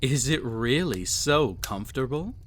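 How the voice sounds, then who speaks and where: A man's voice: mid-range voice, expressive, a little slow. A man playing a cruel, possessive, vain emperor toying with a captive in an intimate audio roleplay, with haughty, teasing, theatrical phrasing. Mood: smug indulgence.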